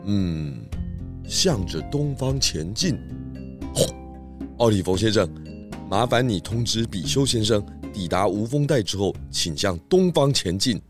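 A man voicing a good-natured, inquisitive pig detective in a children's audio drama, very expressive. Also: fairly low pitch, measured pace, soft background music. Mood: deliberate.

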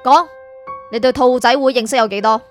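A woman's voice: fairly high pitch, a little fast, close to an everyday voice. A woman with a Hong Kong Cantonese accent acting a stern, impatient spirit prosecutor in a radio drama, curt and authoritative. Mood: impatient, interrogating. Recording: music behind.

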